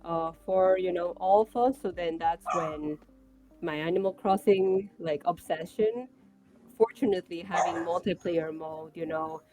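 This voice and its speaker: Low-voiced, enthusiastic young woman streamer. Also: measured pace, mellow, faint music behind, rough recording. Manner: enthusiastic, rambling with many fillers. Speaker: streamer guest. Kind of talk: casual livestream chat.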